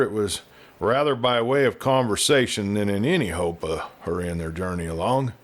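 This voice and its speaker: A man narrating a scripted western story as an audiobook reader, with deliberate, expressive phrasing. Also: mid-range voice.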